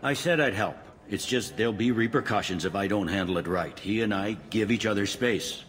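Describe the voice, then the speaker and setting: An actor playing a weary, pragmatic middle-aged police captain in a video game, plain-spoken and matter-of-fact. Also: male voice, lowish voice, fairly quick, a bit bright, in a large hall, natural voice. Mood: resigned, brisk.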